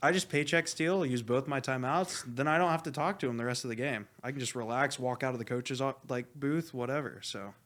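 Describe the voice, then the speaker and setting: Animated, blunt male coach, talking candidly. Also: mid-range voice, fairly quick, few pauses. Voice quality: loud voice. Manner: animated, rambling, heavy use of "like"; blunt, swears freely. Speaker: esports coach being interviewed. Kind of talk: casual, candid interview talk.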